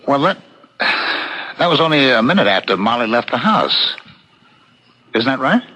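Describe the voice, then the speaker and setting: A sensible, probing friend in a seventies radio mystery. The voice is husky yet forceful, swinging widely in pitch as he questions and argues with deliberate emphasis. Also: big pitch swings, exaggerated voice, poor audio. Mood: quietly probing. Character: reasonable, probing husband, loyal friend of a suspect. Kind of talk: 1970s radio mystery drama acting.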